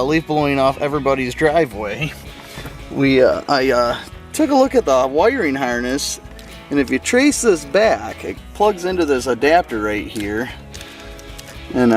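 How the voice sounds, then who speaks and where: A man giving a casual, folksy hands-on walkthrough to camera as a car hobbyist, thinking aloud as he works with some hesitation. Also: fairly high pitch.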